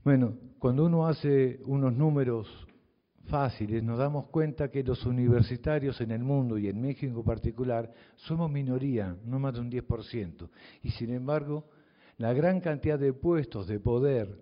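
A man's voice: medium pitch, moderate pace. An older man from the Southern Cone with a Southern Cone Spanish accent gives a reflective, provocative public talk to an audience as a mathematics teacher. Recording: dull audio from a reverberant hall.

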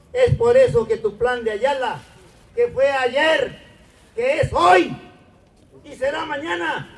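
An older Mexican man reading a letter aloud as a solemn, pleading public oration, declaiming in short phrases with deliberate pauses. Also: very high voice. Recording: voice far from the microphone.

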